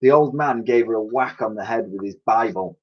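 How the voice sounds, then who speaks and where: A man's voice: on the low side, normal speed, breathy edge, muffled recording. A man reading a children's storybook aloud expressively, like a teacher addressing his pupils.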